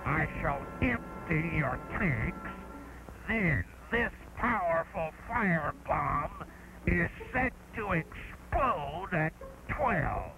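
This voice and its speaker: A scheming mad scientist, acted out by a man in a space adventure story. He gloats with theatrical menace, drawing words out very slowly in a high-set, swooping voice. Music plays behind him. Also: vocal fry, exaggerated voice, rough recording. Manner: menacing, deliberate, theatrical delivery. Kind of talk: dramatic reading of a children's space adventure. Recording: loud music under the voice.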